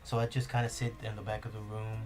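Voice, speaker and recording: A man's voice: fairly low pitch, level tone, quick pace. A young man, a native Spanish speaker with a Spanish accent, chatting casually and rambling with lots of fillers on a podcast. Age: young adult. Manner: rambling, many fillers and restarts. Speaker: podcast host. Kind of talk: casual chat.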